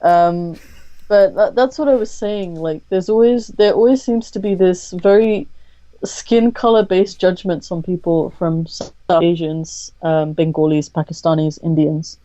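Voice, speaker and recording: A woman with a low, mellow voice talks candidly as a podcast guest, pausing often with a thoughtful "um" before going on. The sound is dry and close, a little dull and lo-fi. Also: fairly even intonation, no echo. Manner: thoughtful, measured, frequent "um" pauses. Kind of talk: candid conversational interview.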